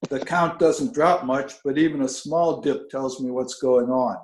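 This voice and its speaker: A man's voice: medium pitch, measured pace, close to an everyday voice. A man reading a story aloud, voicing a crude, boastful, folksy male bull breeder. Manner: blustering, folksy. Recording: roomy, echoing video call audio.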